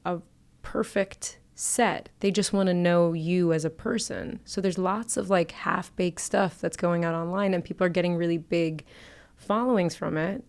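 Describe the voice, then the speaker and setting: A woman in her thirties from the United States, a comedian guest on a podcast who tells stories quickly with dry, wry humor. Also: on the low side, fairly even intonation, darkish tone. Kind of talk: casual conversation.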